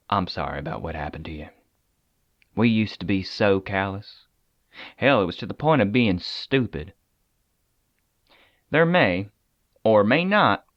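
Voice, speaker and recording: An actor playing a weary, persuasive government agent in an audio drama, talking conversationally with deliberate dramatic pauses. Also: male voice, on the low side, no room sound, muffled recording. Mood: regretful, bitter. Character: weary, persuasive male agent of a secret containment agency.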